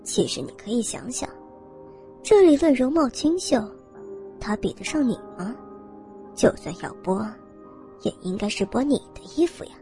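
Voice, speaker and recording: A woman voicing a lecherous, smug male gang boss in an audio story, taunting and menacing, with a husky edge. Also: fairly high pitch, sing-song, moderate pace, pauses often, mellow, soft background music. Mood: leering, menacing. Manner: taunting, sneering.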